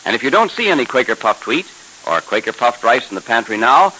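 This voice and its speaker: An American man announcing and pitching a sponsor in 1930s radio style, enthusiastic and emphatic like a salesman. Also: very animated, brisk, pushed and bright, rough recording. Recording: hissy 1930s radio recording.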